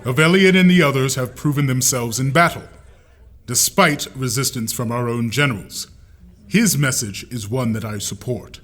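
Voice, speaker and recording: A man's voice: medium pitch, moderate pace, a bit bright, a faint crowd, close to an everyday voice. A man playing a firm, commanding admiral. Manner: commanding, cuts off debate. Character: male admiral, firm and authoritative. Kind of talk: audio drama acting.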